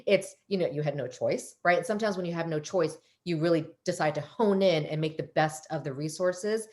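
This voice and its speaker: A woman psychologist speaking animatedly on a panel over a video call, with a loud, emphatic voice. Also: low-pitched, brisk. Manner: animated, emphatic, self-correcting.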